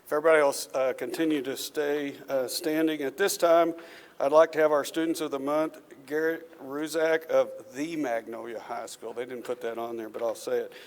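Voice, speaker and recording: A man chairing a school board meeting, his voice a little raspy from a cold, mixing relaxed jokes with formal procedure. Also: mid-range voice, moderate pace. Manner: relaxed, joking asides. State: slightly raspy from illness. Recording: reverberant meeting hall.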